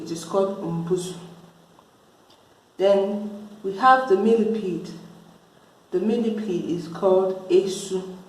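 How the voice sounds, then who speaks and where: A middle-aged Nigerian Igbo woman teaches word by word, saying every term twice in an unhurried way. She speaks very slowly at a middle pitch. Her voice rings out in a very echoey room. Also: a little mellow. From Nsukka, Nigeria. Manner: repeats each word slowly and deliberately. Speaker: language teacher. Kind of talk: slow vocabulary lesson with repetition.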